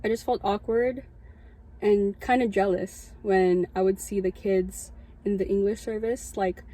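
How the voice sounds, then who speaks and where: A woman in her early twenties speaks with a Californian American accent. Her voice is soft-edged and mellow, at an average pitch. She tells her own story informally, like a student addressing her peers, with conversational asides. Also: fairly even intonation, measured pace, rough recording. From Southern California, United States. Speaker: student chaplain giving a testimony. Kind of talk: casual personal storytelling to an audience.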